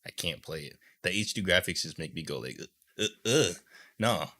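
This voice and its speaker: A man's voice: low-pitched, lively intonation, brisk, bright, forceful tone. A man co-hosting a podcast in casual, slangy chat, giving his opinions freely. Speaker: podcast co-host.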